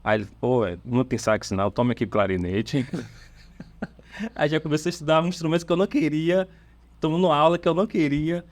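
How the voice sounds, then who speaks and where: A man from Salvador with a Bahian accent, a church band's bassist, chatting casually on a podcast in an easygoing, halting storytelling way with fillers and restarts. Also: no echo. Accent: Bahian Portuguese. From Salvador, Brazil. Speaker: bassist of a church worship band, podcast guest.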